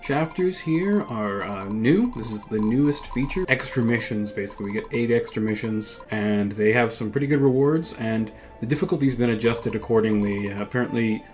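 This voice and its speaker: Casual man narrating gameplay over music. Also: medium pitch. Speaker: gameplay video host who voices characters.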